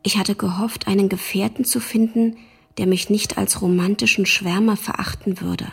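Fretful affectionate sister, extremely breathy, crackly fry, half-whispered, intimate radio drama reading. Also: female voice, mid-range voice, little pitch movement, moderate pace. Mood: wistful. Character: worried, affectionate sister reading her explorer brother's letters.

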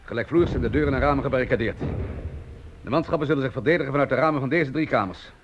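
An actor playing a stern, brisk army lieutenant in a radio drama, clipped and authoritative. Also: male voice, medium pitch, natural voice. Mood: stern, businesslike. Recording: dull, noisy old radio play recording.

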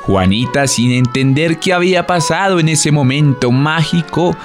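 A man's voice: a little high, animated, normal speed. A man with a Colombian accent giving scripted storyteller narration for a radio drama. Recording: music under the voice.

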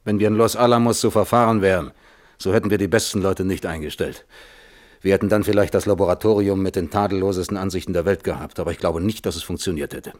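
Low-voiced, thoughtful physicist played by a man. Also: steady tone, a little mellow, lightly acted. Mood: dryly assertive. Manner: measured, reflective, precise. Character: weary, thoughtful male physicist of fifty under questioning. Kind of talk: German radio play, hearing-room testimony.

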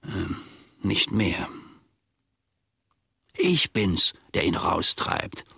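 An actor playing a grumbling, self-important old manservant in a German radio play, husky and gravelly, telling his grievances in halting, mock-formal phrases. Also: low-pitched, sing-song, sharp tone, dry recording, clean studio sound, exaggerated voice. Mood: aggrieved, conspiratorial.

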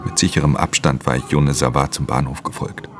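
A man playing a world-weary, guarded pickpocket who narrates a radio crime drama in an intimate, gravelly voice. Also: a bass voice, fairly even intonation, brisk, noisy background. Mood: brooding, tense.

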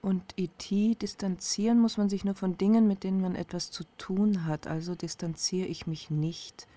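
A woman's voice: mid-range voice, steady tone, moderate pace, dark tone, no echo, natural voice. A woman playing a blunt, sceptical activist in a radio crime drama, terse, dry and insistent. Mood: cool, wry. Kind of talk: German radio crime drama acting, intimate dialogue.

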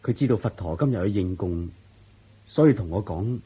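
A man's voice: low-pitched, animated, moderate pace, mellow, breathy edge, exaggerated voice. An actor playing a devoted male disciple in a radio drama, speaking earnestly and respectfully. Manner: earnest, respectful, explaining at length. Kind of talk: 1980s-style radio drama acting.

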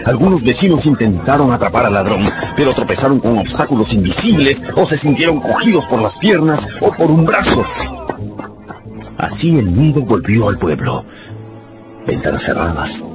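A man's voice: lowish voice, lo-fi sound. A man giving dramatic scripted narration of a radio story.

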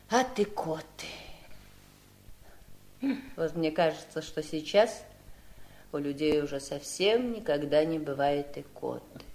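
An actress in a radio drama playing a wistful, nervous gentlewoman who rambles nostalgically in a girlish manner. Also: deep voice. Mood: gentle musing. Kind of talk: Soviet radio drama acting.

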